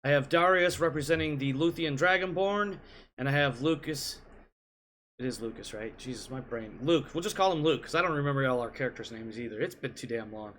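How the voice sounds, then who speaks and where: A man's voice: medium pitch, fairly quick, fairly bright tone, a little hiss or hum. A man hosting a game league show from his home computer, talking casually with playful asides and jokes. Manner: joking, rambling, playful asides. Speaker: league host. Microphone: computer mic at home desk.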